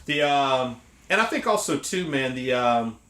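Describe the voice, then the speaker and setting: A man's voice: medium pitch, lively intonation, measured pace, sharp tone. A middle-aged man hosting a casual podcast, talking as an emphatic fan. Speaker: podcast host. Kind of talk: casual chat about an animated series. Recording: roomy echo.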